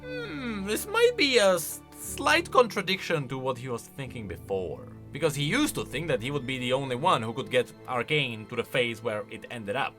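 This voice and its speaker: A man's voice: medium pitch, big pitch swings, moderate pace, few pauses, a bit bright, faint music behind. A man giving chatty, informal, opinionated reaction commentary on video game lore.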